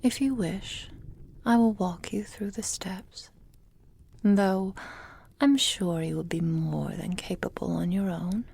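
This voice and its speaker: A woman playing a doting, possessive immortal witch in an ASMR roleplay, murmuring tenderly and intimately in a breathy whisper to her apprentice. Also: medium pitch, darkish tone, full character voice. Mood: gently encouraging. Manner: slow, tender, intimate delivery.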